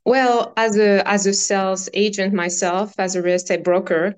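A woman's voice: mid-range voice, little pitch movement, normal speed, clear, resonant tone. A woman from France with a French accent, a guest on a podcast, answering earnestly with fillers and repeated phrases. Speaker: podcast guest, brokerage founder. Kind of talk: casual interview answers.

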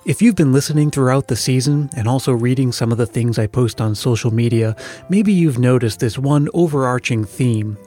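A man's voice: medium pitch, warm tone. A man hosting a podcast, reading scripted narration in an addressing-the-listener style. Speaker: podcast host. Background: music bed.

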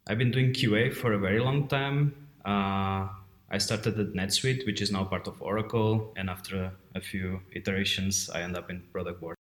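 A man's voice: low voice, steady tone, moderate pace. A man from Portugal, answering interview questions conversationally as a guest. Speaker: guest, head of quality assurance. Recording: roomy, echoey sound.

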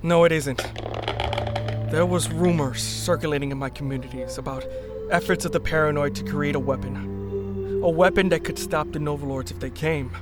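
Man playing a higher-voiced, stubborn wounded rebel agent. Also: loud music behind the voice, lightly acted. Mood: insistent, reasoning. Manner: insistent, measured explaining. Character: injured, stubborn but reasoning male rebel agent. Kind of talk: sci-fi radio drama acting.

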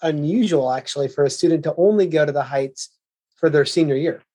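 A man's voice: a little high, a little fast, mellow, husky, no echo. A man hosting a podcast, introducing his guest with enthusiasm and asking friendly questions. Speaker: podcast host. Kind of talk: podcast interview.

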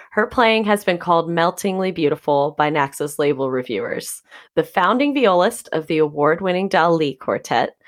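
A woman co-hosting a podcast, reading an upbeat guest introduction with enthusiasm. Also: lowish voice. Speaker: podcast co-host.

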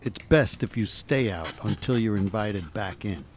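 An actor playing a gruff, terse henchman in an audio drama, giving orders with quiet menace. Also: lowish voice, animated, moderate pace, slight background noise. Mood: menacing, flat.

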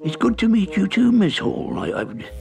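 A male reader puts on a stuffy old professor turned into a tiny bug, all bluster and stammer. His voice leaps high and dances around, with a gravelly edge underneath. He is prim, excitable and easily offended, in the manner of a storybook audiobook. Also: normal speed, soft background music, exaggerated voice. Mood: flustered. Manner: pompous, stammering, indignant. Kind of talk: audiobook character reading.

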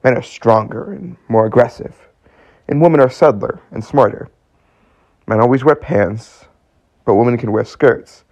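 A man's voice: medium pitch, normal speed, pauses often, a little mellow, dry recording, dull audio, lightly acted. A man in a radio play acting as a hesitant, earnest and bewildered man of twenty-six.

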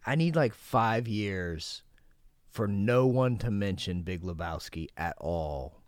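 A forty-year-old man chatting casually on a podcast, laid-back and laughing often as he tells drawn-out stories. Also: fairly low pitch, lively intonation, no echo, clean studio sound. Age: 40s. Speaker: podcast guest.

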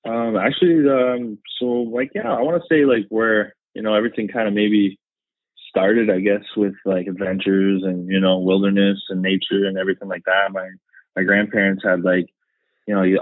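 Casual guest: Canadian man, thirties, low voice, rambling and easygoing, heard over a thin phone-like line. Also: level tone, normal speed, no room sound. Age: 30s. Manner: rambling, many fillers and laughs. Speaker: podcast guest. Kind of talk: casual chat.

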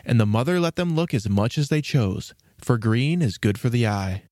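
A man's voice: mid-range voice, expressive, moderate pace, darkish tone, no room sound, studio quality. A man hosting and narrating a scripted children's storybook programme in a theatrical storytelling manner. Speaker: host and narrator.

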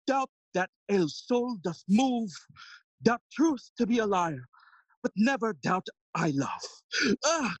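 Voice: mid-range voice, no room sound, dull audio. An actor playing a fussy, long-winded old male counsellor in an audio play, theatrical and forever interrupting their own speech. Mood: declaiming, fussy. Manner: rambling, theatrical, self-interrupting. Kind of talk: Shakespearean stage acting in audio play.

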